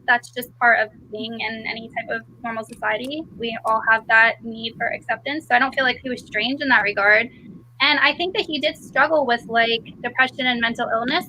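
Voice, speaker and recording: Bright-voiced woman hosting a casual book chat. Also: fairly high pitch, slight background noise, low-quality recording. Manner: chatty, laughs often, lots of fillers. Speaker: live show host.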